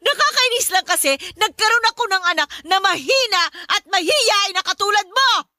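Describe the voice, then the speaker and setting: An actress playing a short-tempered, nagging working-class mother in a Tagalog radio drama, berating her grown son with sharp exclamations. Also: very high voice, lively intonation, moderate pace, no echo, clean studio sound, heavily acted. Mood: exasperated, berating.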